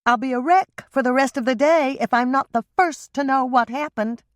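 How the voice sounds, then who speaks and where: A woman's voice: high voice, moderate pace, dry recording, studio quality, pitched above the performer's own voice, close to an everyday voice. A woman doing an emphatic, fretful sister.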